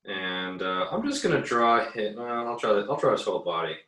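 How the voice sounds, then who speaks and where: A man with a very low but bright, clear-edged voice talks through a drawing demo like a teacher. He is easygoing and hesitant, thinking aloud as he goes. The sound is echoey, as if he sits back from the mic in a room. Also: sing-song, brisk. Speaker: art teacher giving an assignment demo. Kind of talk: casual screen-recorded drawing tutorial. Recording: roomy, echoey, distant mic.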